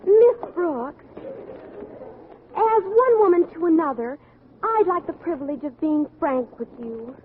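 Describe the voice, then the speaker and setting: High-pitched, earnest teenage schoolgirl. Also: lively intonation, slow pace, long pauses, full character voice. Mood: earnest, gushing. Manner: gushing, earnest, tactlessly frank. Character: earnest, chatty, meddling teenage schoolgirl, principal's daughter. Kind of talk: 1940s-50s radio sitcom acting. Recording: narrow vintage radio recording, audience, hiss.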